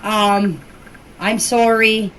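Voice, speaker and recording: A woman's voice: mid-range voice, steady tone, drawn-out words, a bit bright, a little hiss or hum. An older woman answering questions informally in a plain-spoken, insistent, repetitive way, recorded as an amateur voice clip. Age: older, grandmother. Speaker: relative speaking out about a missing children case.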